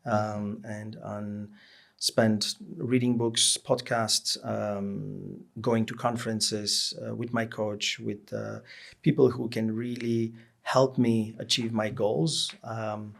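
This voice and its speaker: A man in his late forties answers interview questions in a low register. His voice has a lot of fry in it. He talks reflectively, pausing to search for the right phrase. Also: little pitch movement. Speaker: interview guest, business executive.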